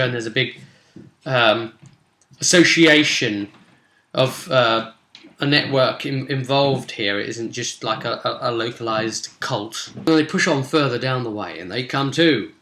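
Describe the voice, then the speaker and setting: Bright-voiced man recapping a game, roomy sound. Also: mid-range voice, normal speed. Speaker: game master recapping a session. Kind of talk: casual tabletop role-play session recap.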